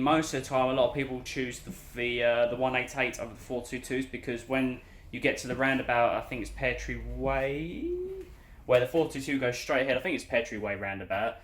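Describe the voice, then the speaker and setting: A young man with a London accent chatting casually as a bus driver, his voice hoarse and croaky, rambling with lots of fillers. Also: mid-range voice, fairly bright tone, roomy sound, slight background noise. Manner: rambling, filler-heavy, loses his train of thought. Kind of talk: casual vlog chat. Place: inside a bus cab.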